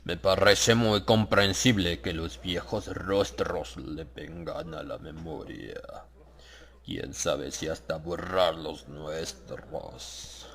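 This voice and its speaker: A man plays an old monk of a sinister brotherhood in a horror audiobook. His low, gravelly voice moves slowly and solemnly. He is ingratiating and gravely reverent. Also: a bit bright, full character voice. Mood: sly, welcoming.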